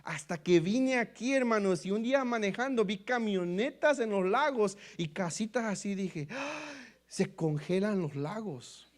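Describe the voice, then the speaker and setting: A man's voice: high-pitched, unhurried. A Mexican man with a Mexican Spanish accent, a pastor telling his testimony in a sermon, often calling his listeners brothers and acting out the dialogue. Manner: storytelling, repeatedly addressing listeners as brothers; lively, acts out quoted dialogue.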